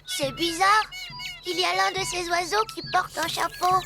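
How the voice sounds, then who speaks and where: A performer voicing a small, proud and helpful elf child in a children's cartoon, deliberate and self-assured. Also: very high-pitched, steady tone, gravelly, music in the background. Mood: confident. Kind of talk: children's cartoon dub acting.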